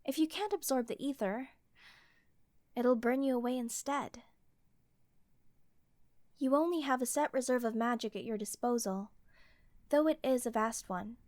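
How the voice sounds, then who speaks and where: A woman's voice: on the high side, a little slow, long pauses, a little mellow, no echo, studio quality, lightly acted. A woman playing an earnest, easily scandalized queen with a formal, ceremonious manner in a fantasy audio roleplay. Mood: explaining. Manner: ceremonious, proper, reverent.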